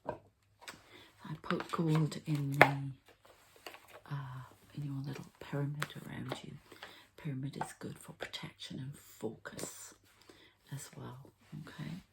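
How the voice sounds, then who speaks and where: A woman softly whispering an ASMR healing ritual, breathy and halting, as if thinking aloud. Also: extremely low pitch, steady tone, very noisy. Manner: unhurried, halting, thinking aloud while handling objects. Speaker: host, energy healer and card reader.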